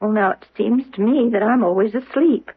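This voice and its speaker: A meek, confused young singer under someone's spell, plaintive and childlike, with a slightly husky voice. It is 1970s radio drama acting, heard through a narrow, muffled old broadcast. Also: female voice, medium pitch, normal speed. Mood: weary, wistful. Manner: plaintive, repetitive, childlike pleading. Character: submissive, bewildered young female singer under hypnotic control.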